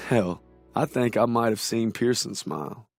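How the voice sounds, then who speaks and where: An actor playing an earnest American soldier giving first-person war game narration, plainspoken and reflective, with an American accent. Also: male voice, mid-range voice, normal speed, vocal fry, no echo, soft background music, close to an everyday voice. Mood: reflective, determined.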